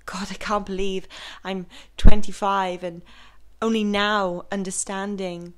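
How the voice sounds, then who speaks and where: Actress as slow, lovestruck young woman confiding. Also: medium pitch, level tone, lightly acted. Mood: lovestruck awe. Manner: halting, breathy, intense. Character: lovestruck, vulnerable twenty-five-year-old woman confiding. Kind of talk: intimate dramatic monologue.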